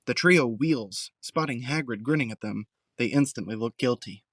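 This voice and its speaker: A male narrator reads scripted scene descriptions for an audio drama. His medium-pitched voice is recorded very dry, with no room sound. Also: moderate pace, fairly bright tone, no echo.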